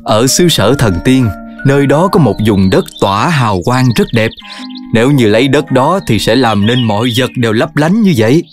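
A man voicing a wise, patient father dog in a children's cartoon, explaining things in an expressive, fatherly way. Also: medium pitch, normal speed, natural voice. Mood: fatherly, instructive. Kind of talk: animated children's cartoon dubbing. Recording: loud music behind.

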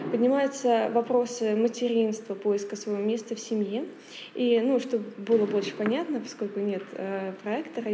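A woman in her twenties giving an academic presentation in a halting, hesitant way. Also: a little high, dark tone. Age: 20s. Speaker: speaker presenting a paper. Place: wood-panelled library meeting room, indoors. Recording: echoey room with long reverb, faint noise.

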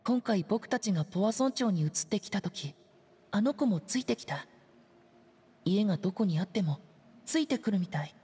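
A woman playing a shy, gentle, downcast teenage boy in an anime game, soft-spoken and hesitant. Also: mid-range voice, animated, moderate pace, slightly rough. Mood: subdued. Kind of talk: Japanese anime game voice acting.

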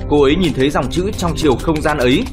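A man doing a voice-over of an animated film, reading out both narration and dialogue. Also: on the high side, fast talker. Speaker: movie recap dubber and narrator. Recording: music under the voice. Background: music.

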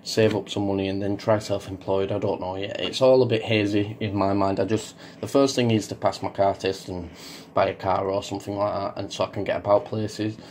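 A young man with a Yorkshire accent, croaky from being ill, giving a hesitant, rambling vlog update full of ums. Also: fairly low pitch, level tone, fairly quick, hardly any pauses, a little mellow, slight background noise. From Yorkshire, England. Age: young adult. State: croaky from illness. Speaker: farming YouTuber.

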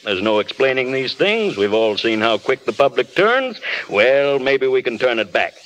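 An actor in a 1930s radio drama playing a tactful but frank film studio boss. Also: male voice, medium pitch, very animated, normal speed, lightly acted. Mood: reassuring. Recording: noisy old 1930s radio broadcast.

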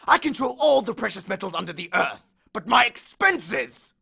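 A man's voice: sits high, big pitch swings, normal speed, gravelly, pushed and bright, breathy edge, pitched above the performer's own voice, heavily acted. A man voicing an angry, aggrieved male god of the dead who bellows complaints and threats in an audiobook. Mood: aggrieved ranting. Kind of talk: audiobook character voice.

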